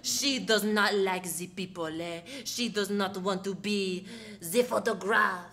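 A woman's voice: medium pitch, measured pace, fairly bright tone. A woman playing a smug, flighty French Canadian monster expert in a live comic radio play, theatrical and peppering her speech with French. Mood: knowing. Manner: theatrical, sprinkles French, tags phrases with "eh". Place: theater stage with live audience.

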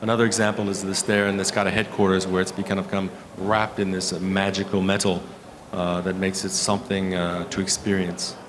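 Low-voiced man presenting, halting delivery. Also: level tone. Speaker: keynote speaker, architect. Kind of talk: conference presentation with slides. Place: conference hall stage at a lectern. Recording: clear background room noise.

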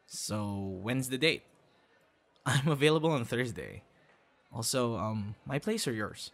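Young boyfriend role, acted by a man for audio roleplay: mid-pitched, shy and kind, conversational with small stammers. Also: lively intonation, slow pace. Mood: warm, excited. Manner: conversational, hesitant, with stammers and soft asides. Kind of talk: ASMR boyfriend roleplay acting.

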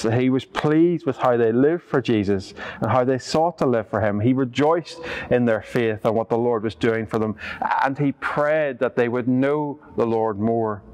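A man in his thirties with a Northern Irish accent, preaching a sermon in earnest, steady tones inside a church, with the odd filler. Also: mid-range voice. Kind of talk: sermon reflection. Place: church interior, standing before the altar.

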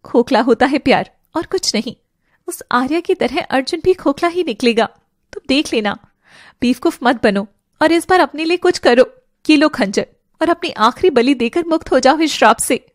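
A woman voicing a fairy's dark alter ego in a cartoon, taunting, scornful and commanding. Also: high-pitched, lively intonation, normal speed, no echo, clean studio sound. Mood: scornful, urging.

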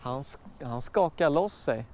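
A man in his thirties with a Swedish accent, giving excited fishing commentary from a small boat on a lake. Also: medium pitch. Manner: excitable, exclaims while reeling fish. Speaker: fishing vlogger. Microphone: action camera. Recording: outdoor wind and water noise.

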